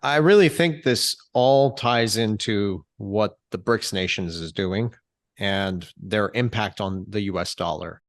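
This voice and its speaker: A man hosting an interview, asking measured questions and often giving short acknowledgments. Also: on the low side, dry recording, clean studio sound. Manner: measured, frequent short backchannels. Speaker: interview host.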